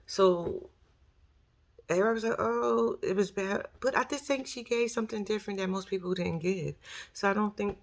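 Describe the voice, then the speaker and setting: A woman with a raspy voice and a New York accent, chatting casually on her vlog in a slangy, animated way. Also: medium pitch, normal speed. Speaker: YouTube commentary host.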